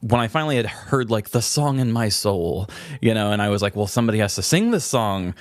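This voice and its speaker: A man's voice: on the low side, expressive, a little fast, no room sound, clean studio sound. A man co-hosting a podcast, chatting casually in a candid, introspective and wordy way. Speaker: podcast co-host. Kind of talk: casual reflective podcast chat.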